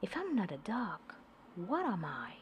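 A woman's voice: medium pitch, huge pitch swings, a little slow, slight background noise. A woman voicing a lonely young male duckling in a storybook, with a wistful, wondering manner.

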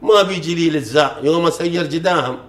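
Middle-aged man, folk poet, quick-talking, reciting verse in an echoey room. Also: on the high side. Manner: measured, chanting recitation of verses. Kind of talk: reciting folk poetry in conversation. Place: living room sofa, indoors. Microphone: lapel mic. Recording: roomy echo.

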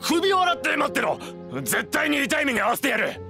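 High-pitched sneering thug. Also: male voice, big pitch swings, a little fast, music in the background, heavily acted. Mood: angry threats. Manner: rough, threatening, sneering speech. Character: bullying thug debt collector, menacing and mocking grown man. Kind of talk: Japanese anime game dubbing.

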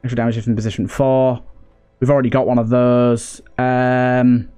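A man's voice: mid-range voice, level tone, normal speed, warm tone, clear, resonant tone. A man giving casual, chatty gameplay commentary as a let's play host, thinking aloud with mild understatement. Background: video game music.

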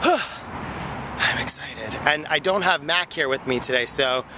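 A man's voice: a little high, takes it slowly. A man in his thirties chatting casually into a handheld phone while walking along a park path, rambling with fillers and light laughs. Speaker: vlogger. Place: outdoor park path near a street. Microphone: phone held at arm's length. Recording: loud outdoor traffic noise.

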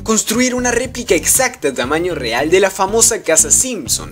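A lively scripted narration by a list-video host. The delivery swings widely in pitch, and music plays clearly behind the voice. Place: close voice-over with music behind.

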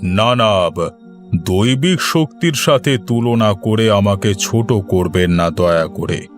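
A man playing a humble, kindly tantric holy man who speaks in a measured way in a cartoon story. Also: lowish voice, soft background music. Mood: humble calm.

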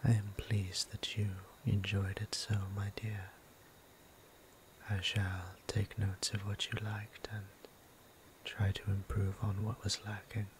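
A man voicing a gentle, courtly vampire priest in an ASMR roleplay, breathy and half-whispered, with formal, tender, old-fashioned phrasing. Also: on the low side, low-quality recording. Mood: caring, soothing. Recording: clear background noise and ambience.

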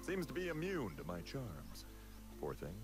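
A man's voice: mid-range voice, wildly swooping pitch, measured pace. A man voicing a vain, swaggering male pilot adventurer in a cartoon video game, boastful and self-satisfied. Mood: smug, breezy. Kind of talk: animated video game voice acting. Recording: game music playing behind.

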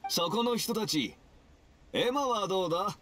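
A man's voice: high voice, lively intonation, a little slow, pushed and bright, a little hiss or hum, heavily acted. A man voicing a friendly festival stall keeper in an anime game, calling out with polite vendor patter. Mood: inviting. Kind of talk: anime video game voice acting.

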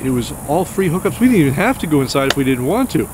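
Chatty excited man in his forties. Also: a little high, quick pace, noisy background. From New Hampshire. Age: 40s. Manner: chatty, excitable, rambling with restarts. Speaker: RV travel vlogger. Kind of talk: casual vlog talk to camera. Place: outdoors at an RV campground.

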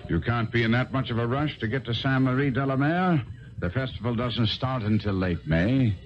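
An actor playing a confident, shrewd gentleman detective in a radio drama, articulate, polite and assured. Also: medium pitch, normal speed, natural voice. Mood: politely probing. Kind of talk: 1970s radio drama acting. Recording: dull 1970s radio recording.

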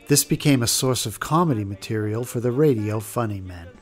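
A man's voice: mid-range voice, expressive, a little fast. A man reading scripted documentary narration as the narrator. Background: music.

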